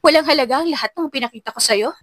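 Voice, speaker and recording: Woman acting a high-pitched furious, heartbroken young woman. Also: very fast, no room sound, studio quality, natural voice. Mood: angry, hurt. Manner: shouting, accusing, anguished.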